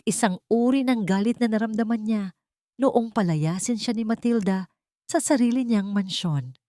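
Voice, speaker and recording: A woman's voice: mid-range voice, dry recording, clean studio sound. A woman narrating a scripted dramatic story as a storyteller.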